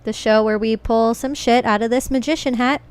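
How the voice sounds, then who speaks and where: A woman's voice: on the high side, steady tone, fairly quick, darkish tone, clean tone, dry recording. A woman hosting a podcast in casual chat, teasing her co-host and laughing often.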